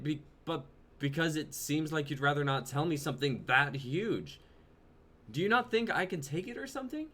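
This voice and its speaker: A man's voice: fairly high pitch, sharp tone. A man playing an insecure, on-edge young boyfriend who pleads and flares up, in a dramatic script reading. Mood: agitated, hurt. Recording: noisy amateur livestream audio.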